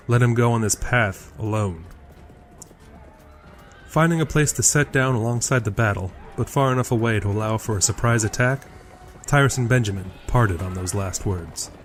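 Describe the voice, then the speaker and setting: A man's voice: fairly low pitch, normal speed. Male story narrator over music. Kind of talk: scripted narration of a fantasy audio drama.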